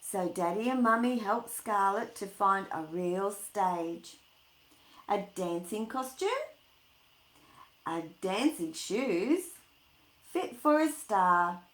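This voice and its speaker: Unhurried woman reading children's stories, echoey room. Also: mid-range voice, lively intonation, fairly bright tone. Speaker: storytime host reading a picture book aloud to children. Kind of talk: children's story reading with informal chat.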